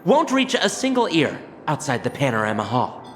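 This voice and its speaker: A woman's voice: deep voice, wildly swooping pitch, normal speed, big-room sound, faint music behind. A female actor playing a jolly, boastful, gossipy impostor in a video game, in a smug, theatrical manner. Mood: smug, playful.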